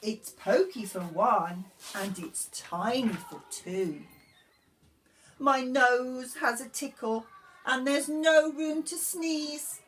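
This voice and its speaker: A woman's voice: high voice, slow, fairly bright tone, slight background noise. A woman voicing a distressed, grumbling little old lady from a storybook, wailing and stretching out her words dramatically. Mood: pleading, grumbling.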